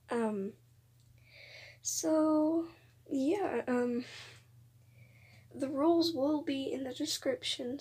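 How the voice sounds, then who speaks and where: A woman's voice: high-pitched, mellow. A young woman making a casual, rambling announcement to viewers, hesitant and repeating herself, with occasional voice cracks. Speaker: video creator announcing voice auditions. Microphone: phone voice recorder app.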